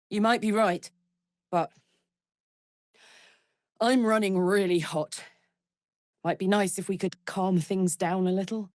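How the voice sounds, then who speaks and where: Video game tiefling warrior woman, played by an actress: brash, warm-hearted, earthy and blunt. Unhurried delivery, dry studio sound. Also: medium pitch, no room sound, lightly acted. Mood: worried. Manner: blunt, earthy, informal soldierly talk. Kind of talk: video game character voice acting.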